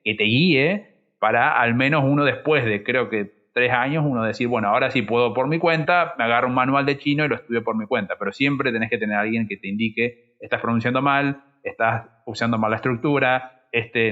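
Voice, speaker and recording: Man, Rioplatense accent, sharp forceful tone, emphatic conversational panelist, somewhat lo-fi sound. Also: mid-range voice, a little fast. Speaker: podcast guest sharing experience of learning Chinese. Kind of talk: casual panel discussion.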